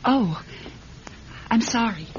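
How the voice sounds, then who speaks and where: High-voiced, fretful housewife. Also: female voice, lively intonation, slow pace. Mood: flustered. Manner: chatty, apologetic, fretful. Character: meek, fussing, bewildered housewife of a domineering man. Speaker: actress in a radio play. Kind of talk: classic radio drama acting. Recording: muffled, noisy old radio broadcast.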